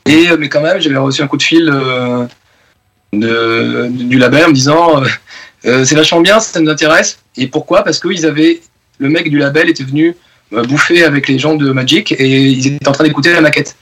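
A man's voice: on the high side, fairly quick, a bit bright, slightly rough. A man in his forties from France, a musician talking loosely in a radio interview, full of fillers and restarts. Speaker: guest musician. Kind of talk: casual interview talk.

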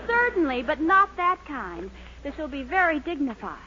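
A sweet, high-voiced young sweetheart. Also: female voice, sing-song, moderate pace, pushed and bright, some chatter behind, full character voice. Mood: firm, exasperated. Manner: gushing, earnest. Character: sweet, eager young girlfriend and loyal daughter. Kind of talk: 1940s radio comedy acting. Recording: muffled 1940s radio recording, faint noise.

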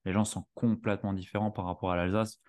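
Man, very deep voice, from Alsace, podcast host, hesitant talk. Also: flat delivery, quick pace, a little mellow. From Alsace, France. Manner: hesitant, many fillers. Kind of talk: conversational interview.

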